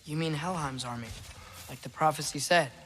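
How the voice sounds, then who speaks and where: An actor playing an eager, questioning teenage warrior son in a video game, earnest and youthful. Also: male voice, on the high side, a little fast, fairly bright tone, a little hiss or hum, natural voice, low-quality recording. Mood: earnest, thoughtful. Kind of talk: cinematic video game acting.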